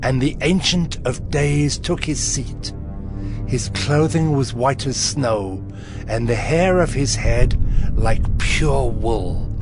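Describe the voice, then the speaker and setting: An awestruck bible prophet telling his night visions, real slow and solemn, dramatic music behind him. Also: medium pitch. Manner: solemn, deliberate storytelling. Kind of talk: dramatized scripture reading.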